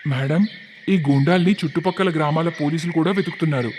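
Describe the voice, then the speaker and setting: A man acts out a dutiful police constable in a cartoon story, reporting briskly to his superior. His fairly high voice rings with a long echo over steady background noise. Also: natural voice.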